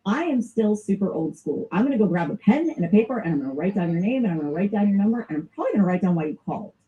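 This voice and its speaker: A woman's voice: medium pitch, sing-song, rapid-fire. A woman with a Southern US accent giving a casual, informal sales training lesson and laughing often. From American South. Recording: dull lo-fi recording.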